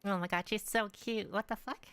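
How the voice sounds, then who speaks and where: Bright-voiced young woman streaming casually. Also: medium pitch, fairly even intonation, moderate pace, smooth. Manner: rambling, offhand. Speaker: game streamer. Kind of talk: casual live stream chat, answering viewers. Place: room at home.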